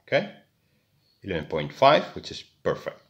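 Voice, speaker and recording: Very deep-voiced man explaining a repair. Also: huge pitch swings, normal speed, lo-fi sound. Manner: hesitant, many fillers and restarts. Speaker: electronics hobbyist. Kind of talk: hands-on repair tutorial. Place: indoors at a workbench.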